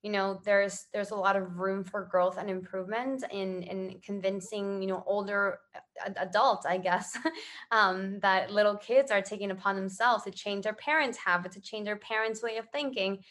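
A woman's voice: mid-range voice, steady tone, moderate pace, a bit bright. Earnest woman from Ecuador in conversation. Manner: earnest, conversational, often tagging phrases with "right?". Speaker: interview guest, social entrepreneur. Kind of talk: casual interview answers.

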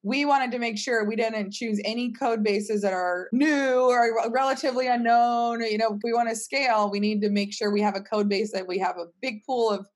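A woman's voice: a little high, fairly even intonation, brisk, few pauses. A woman answering interview questions about her work in a casual, informal, explanatory way, as a team leader would. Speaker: tech company team leader, interview guest.

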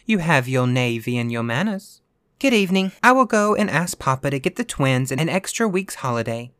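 A man's voice: medium pitch, sing-song, moderate pace, a bit bright, clean tone, no echo, studio quality, pitched above the performer's own voice. A man voicing an earnest, brave little girl in a storybook reading, prim and matter-of-fact in manner. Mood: prim, brisk.

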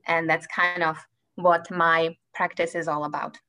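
A woman's voice: low voice, little pitch movement, a little slow, pushed and bright. A woman in her fifties from the Czech Republic with a Czech accent, telling her story as a guest on a podcast and laughing between phrases. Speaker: guest physician. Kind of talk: casual interview chat.

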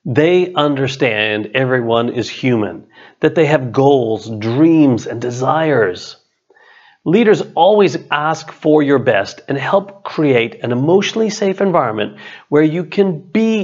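A middle-aged man, an author and coach, reads his own written text as scripted narration in a measured, deliberate way. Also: mid-range voice, lo-fi sound. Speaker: author, business and leadership coach.

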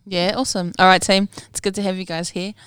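NZ woman hosting a podcast, smooth clear voice, dry close sound, upbeat. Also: medium pitch, level tone, fast, a bit bright, dry recording. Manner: upbeat, friendly hosting with filler words. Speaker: podcast host. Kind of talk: casual chat.

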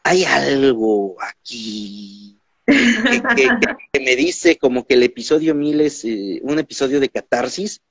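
A man with a Mexican accent hosting a podcast interview in a casual, animated way, often repeating his words. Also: medium pitch, a little slow, husky, dry recording. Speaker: podcast host. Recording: dull lo-fi sound.

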